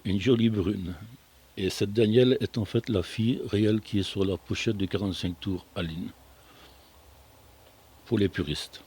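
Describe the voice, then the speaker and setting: An older man with a fairly low voice presents a radio programme. He reads prepared biographical narration and slips into casual banter now and then. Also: a little mellow, breathy edge, no echo. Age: older, retired.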